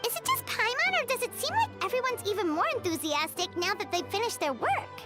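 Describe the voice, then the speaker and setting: A woman voicing a tiny, childlike fairy companion in an anime game, excitable and curious. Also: very high-pitched, brisk. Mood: curious, puzzled. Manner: speaks of self in third person, exclamatory. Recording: music behind the voice.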